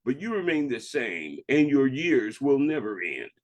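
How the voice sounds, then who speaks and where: A man reads in the manner of a preacher sharing a devotional. His voice sits at an average pitch, and he delivers scripture and reflection deliberately, giving phrases measured weight. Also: big pitch swings. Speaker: reverend reading a devotional. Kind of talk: devotional reading, sermon-like.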